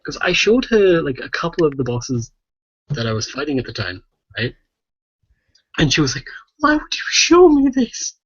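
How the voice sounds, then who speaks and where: A man's voice: fairly high pitch, normal speed, long pauses, slightly rough. Man swearing through stories, muffled echoey audio. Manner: sweary, animated storytelling with frequent "right?" tags. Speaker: podcast host. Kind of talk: casual chat, rambling anecdotes. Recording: muffled, echoey voice-chat recording.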